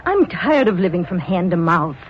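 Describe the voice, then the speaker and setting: An actress playing a scornful, impatient wife in an old radio drama, sharp and contemptuous. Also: female voice, lowish voice, big pitch swings, moderate pace, a little hiss or hum. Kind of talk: 1940s radio acting. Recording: dull old radio recording.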